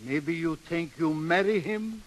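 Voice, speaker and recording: In an echoing 1930s radio play, an actor voices an old Swedish father. His voice is fairly high for a man. He grumbles stubbornly in thick broken English. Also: a little slow, a little hiss or hum, close to an everyday voice. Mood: worried. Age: older. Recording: old reverberant radio broadcast.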